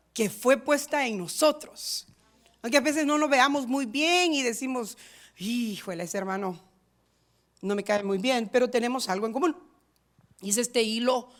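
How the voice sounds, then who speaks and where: A woman pastor preaching a sermon in a rhythmic, repetitive style, moving between loud stressed lines and quiet asides. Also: fairly high pitch. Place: church in San Diego.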